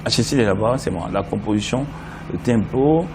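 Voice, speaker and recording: A man's voice: lowish voice, brisk. A middle-aged man from Benin, a Yoruba speaker, chatting animatedly as an interview guest. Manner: animated storyteller, breaks into song. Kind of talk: casual interview chat. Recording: noisy background.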